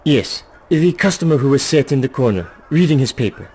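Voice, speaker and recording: A man's voice: mid-range voice, lively intonation, brisk, warm tone, breathy edge. A man playing a nervous, shifty informant in a video game, anxious, hesitant and eager to placate. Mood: nervous, defensive. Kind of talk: video game cutscene voice acting.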